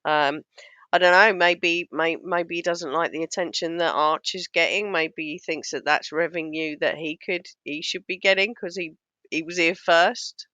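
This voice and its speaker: A woman giving casual, scornful reaction commentary on a clip, a little hoarse as she loses her voice. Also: on the low side, fairly even intonation, normal speed, pushed and bright, no room sound. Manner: scornful, sarcastic, mocking. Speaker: YouTube commentator.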